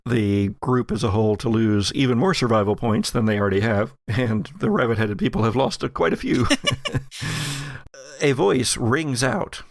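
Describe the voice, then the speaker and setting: A man working as game master, narrating a horror tabletop game in a deliberate, dramatic storytelling manner. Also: mid-range voice, fairly even intonation, no room sound.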